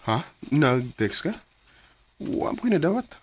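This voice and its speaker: An actor playing a conflicted married man in a radio drama, hesitant and apologetic, with a husky voice and a Cebuano accent. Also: fairly high pitch, sing-song, unhurried, full character voice. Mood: evasive.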